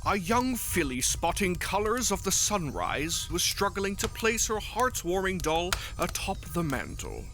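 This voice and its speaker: A woman's voice: on the low side, fairly bright tone. A woman reading scripted storybook narration as the narrator.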